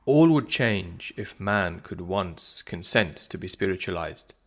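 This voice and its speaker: A man reads philosophical prose as a solemn, measured narration, pausing deliberately between phrases. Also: sits low, lively intonation. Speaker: narrator of a spiritual audiobook. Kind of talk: scripted reading of philosophical prose.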